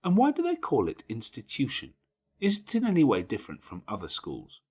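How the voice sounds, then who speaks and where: A man's voice: medium pitch, wildly swooping pitch, fairly quick, husky, pitched above the performer's own voice. A man voicing a young, curious orphan girl who asks eager questions in an audiobook. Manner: inquisitive, questioning.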